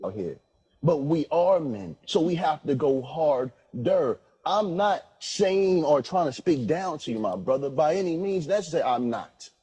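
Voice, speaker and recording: A man's voice: on the high side, animated, unhurried, mellow, breathy edge. A man earnestly exhorting in a preachy, emphatic way, heard in a played clip.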